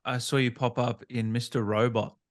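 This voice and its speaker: A man's voice: medium pitch, level tone, moderate pace. A man hosting a gaming channel interview in a casual, conversational style.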